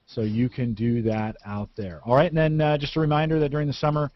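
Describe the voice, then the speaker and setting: A man's voice: mid-range voice, flat delivery, brisk, muffled recording, slight background noise. A man hosting a casual tech podcast from his home studio, chatty and rambling, with lots of fillers and restarts. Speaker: podcast host.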